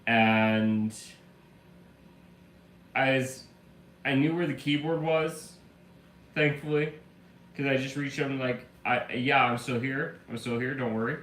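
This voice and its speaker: A man's voice: medium pitch, slow, long pauses, a bit bright. A man streaming from his room at home, his voice back after the flu but still carrying a slight cough. He talks casually and rambles, piling on fillers. The room sounds echoey, with a faint hum of ambience behind him. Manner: rambling storyteller, lots of "like" fillers. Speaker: live streamer. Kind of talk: casual live-stream chat.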